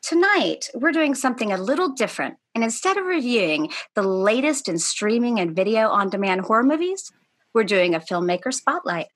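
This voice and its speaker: A young woman hosting a horror interview over a video call, bubbly and animated. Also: on the high side, brisk. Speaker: host. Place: dim room with a red backdrop.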